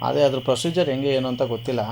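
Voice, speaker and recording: A man's voice: medium pitch, rapid-fire, darkish tone, faint music behind. A man making a radio prank call as a confused customer, argumentative and persistently questioning. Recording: clearly noisy radio prank call recording.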